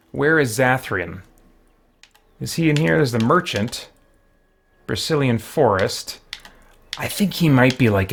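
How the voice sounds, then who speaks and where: A man giving casual, halting live-stream commentary on a game, thinking aloud as he goes. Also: medium pitch, fairly bright tone, breathy edge.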